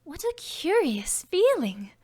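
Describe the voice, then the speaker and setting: A woman's voice: very high voice, very animated, slow, a little mellow, pitched above the performer's own voice, heavily acted. A woman voicing the little girl Alice, earnest and chatty, in a storybook reading. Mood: wondering.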